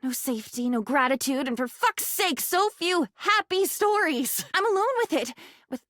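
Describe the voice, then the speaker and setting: A woman's voice: high-pitched, lively intonation, moderate pace, a bit bright, dry recording, clean studio sound. A woman voicing a living diary in a video game, bitter, wounded and theatrical, dramatic and sarcastic as she swings between confiding and outburst. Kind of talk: video game character voice acting.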